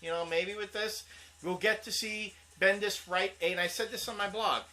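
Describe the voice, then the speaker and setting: A man's voice: high-pitched, steady tone, normal speed, bright, forceful tone. A man co-hosting a casual comic book talk show, rambling and restarting as he defends his opinion. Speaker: co-host.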